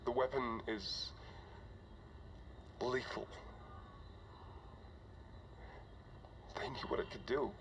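A man's voice: mid-range voice, lightly acted. Man acting weary starship commander, muffled noisy log. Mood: grim, horrified. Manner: conversational, halting, sighing. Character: weary older male starship commander, jittery, remorseful. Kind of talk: dramatic audio log acting. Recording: dull, noisy cockpit log recording.

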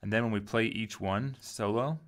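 A man giving a casual software tutorial, informally thinking aloud as he goes. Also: lowish voice, normal speed. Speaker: tutorial host.

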